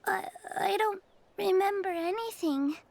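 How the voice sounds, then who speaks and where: A woman voicing a frightened, lost young girl in a video game, speaking in a halting, childlike way. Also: very high-pitched, creaky, a little hiss or hum, exaggerated voice. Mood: lost, sad.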